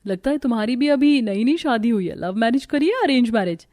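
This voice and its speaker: A woman's voice: a little high, animated, a little fast, mellow, close to an everyday voice. A woman voicing a sweet, trusting young newlywed bride in a cartoon, polite and eager to please. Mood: chatty, hopeful.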